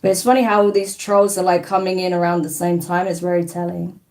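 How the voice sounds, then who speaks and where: A woman with a fairly low voice and a faint New Zealand twang, talking in a loose, rambling way as she hosts a live stream. She brushes off hecklers with blunt scorn. Her voice sounds a little distant from the mic. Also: level tone, a little fast, darkish tone. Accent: slight New Zealand twang. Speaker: oracle card reader hosting a live stream. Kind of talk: casual live-stream chat, reading viewer comments aloud.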